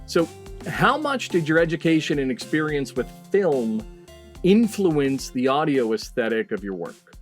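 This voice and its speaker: Higher-pitched male podcast host. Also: sing-song, unhurried, soft background music. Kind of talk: podcast introduction and interviewing.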